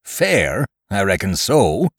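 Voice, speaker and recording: A man voicing a cunning, domineering pirate sea-cook in an audiobook, with rough sailor dialect and a forceful, taunting manner. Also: on the low side, sing-song, takes it slowly, no room sound, studio quality, exaggerated voice. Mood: angry, defiant. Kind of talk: audiobook character voice.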